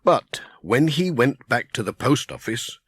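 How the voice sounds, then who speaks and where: A man's voice: medium pitch, lively intonation, a little slow, fairly bright tone, slightly rough, no room sound, clean studio sound. A man narrating a children's audiobook as a scripted storyteller.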